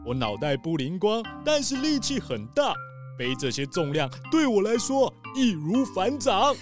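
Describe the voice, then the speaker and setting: A woman's voice: medium pitch, big pitch swings, unhurried, faint music behind. A woman voicing a big, strong, simple-minded male bear, good-natured and a little boastful, in a children's mystery audio drama.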